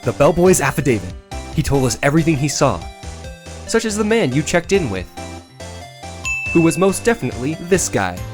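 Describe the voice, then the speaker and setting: A man's voice: on the high side, sing-song, normal speed, pauses often, music in the background. A man voicing an eager young defense lawyer in a theatrical video game fan dub, dramatic, with wry, exasperated asides. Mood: determined, bluffing.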